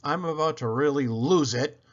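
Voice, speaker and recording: A man reading a picture book aloud in an animated storytelling style, with theatrical emphasis on questions and lists. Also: fairly high pitch, normal speed, lo-fi sound. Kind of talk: animated children's read-aloud narration.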